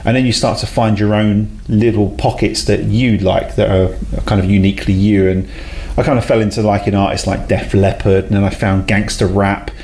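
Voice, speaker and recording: A man talks easily as an interview guest. His voice sits fairly low, and he jokes at his own expense and laughs readily. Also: level tone, normal speed, a little mellow. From South London, England. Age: 40s. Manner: chatty, self-deprecating, laughs often. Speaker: guest, head of a talent company. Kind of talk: casual interview chat.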